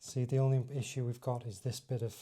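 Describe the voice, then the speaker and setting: A man with a dark, relaxed voice at a middling pitch talks through a hands-on job step by step. He is informal and seems to think aloud as he works, like a vlogger showing viewers a fitting. There is faint noise behind his voice. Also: quick pace. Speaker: motovlogger presenting a fitting tutorial. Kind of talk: casual hands-on demonstration, talking through each step.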